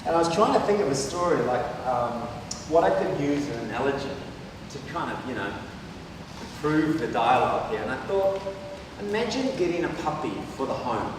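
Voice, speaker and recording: A man's voice: on the high side, very animated, moderate pace, background noise. A man with a New Zealand accent giving a conference presentation, chatty and peppering his points with "right?". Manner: conversational, rhetorical tags like "right?". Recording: echoey hall from far off.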